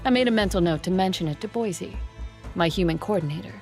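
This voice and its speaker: Ancient vampire queen type, played by a woman: imperious, lowish voice, audio drama, music underneath. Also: quick pace, a little mellow, lightly acted. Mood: cold, disdainful. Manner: imperious, disdainful. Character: haughty two-thousand-year-old female vampire conqueror. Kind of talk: audio drama narration and acting.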